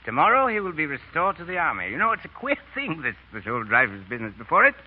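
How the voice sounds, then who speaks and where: Aging novelist, fervent, muttering and exclaiming, very varied pitch, old dull radio recording. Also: male voice, wildly swooping pitch, fast talker, slightly rough, full character voice. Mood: musing, fervent. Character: fervent, gruff aging male French novelist. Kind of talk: 1930s radio acting. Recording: muffled, noisy 1930s radio recording.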